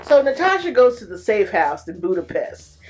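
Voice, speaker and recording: A woman recapping a movie in a casual, animated way, full of slang and playful exclamations. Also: mid-range voice, moderate pace, soft background music, rough recording. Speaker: movie reviewer.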